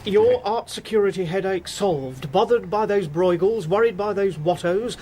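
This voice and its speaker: A meek British sergeant sidekick, acted by a man, speaking in a notably high voice for a male. He is deferential and hesitant, a radio-drama underling, with faint noise in the recording. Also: normal speed, dark tone, lightly acted. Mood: politely doubtful. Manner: hesitant, stammering, meekly sceptical.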